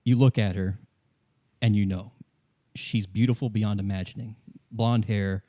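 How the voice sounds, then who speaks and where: A man narrating a tabletop game in the second person as game master, improvising in a halting way and repeating phrases. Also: fairly low pitch, steady tone, warm tone.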